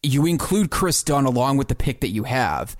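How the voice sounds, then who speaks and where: A man's voice: mid-range voice, big pitch swings, normal speed, no room sound. A man co-hosting a sports podcast, thinking through basketball scenarios and posing questions in a conversational way.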